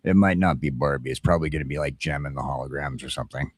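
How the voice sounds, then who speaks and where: A man's voice: a bass voice, fairly even intonation, fast, slightly rough, dry recording, studio quality. A man in casual chat as a talk show host, blunt and opinionated, swearing and often stammering over repeated words.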